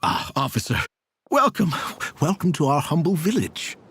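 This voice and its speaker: Game role: meek, earnest male villager, high voice, very animated and beseeching. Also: normal speed, slightly rough, no room sound, clean studio sound, full character voice. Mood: deferential. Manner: deferential, imploring. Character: humble, earnest, pleading desert village man. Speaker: voice actor in a video game. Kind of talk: scripted game dialogue.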